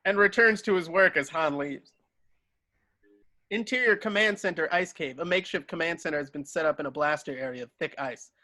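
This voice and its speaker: A man's voice: on the high side, a little fast, sharp tone, dry recording. A man reading stage directions as narrator in an amateur table read, now and then stumbling and correcting himself.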